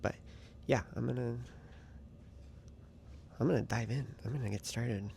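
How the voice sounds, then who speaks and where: Guy doing a chatty writing vlog, super gravelly fry voice, gets whispery sometimes. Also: medium pitch, a little slow, background noise. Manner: chatty and excitable, trailing into soft whispery asides. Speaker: writing vlogger. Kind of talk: casual diary-style vlog.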